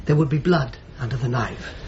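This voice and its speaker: A male actor playing a pious, courteous churchman in a radio drama, speaking gently and thoughtfully, sometimes haltingly. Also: medium pitch, muffled recording, slight background noise, lightly acted. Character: pious, courteous churchman showing a visitor around. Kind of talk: naturalistic radio drama acting.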